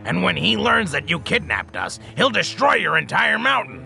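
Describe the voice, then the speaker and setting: A man voicing a loyal male pig companion in an audio storybook, with a creaky, gravelly voice. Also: high voice, wildly swooping pitch, normal speed, bright, forceful tone, faint music behind, exaggerated voice. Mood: warning. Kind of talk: audio storybook character acting.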